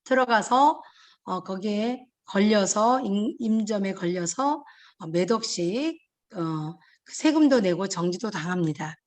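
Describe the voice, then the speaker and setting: Brief: woman, cooperative head, earnest and measured, mid-pitched, speaking on a video call. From Jeju Island, Korea. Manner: earnest, measured, with fillers and restarts. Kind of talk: panel discussion answers.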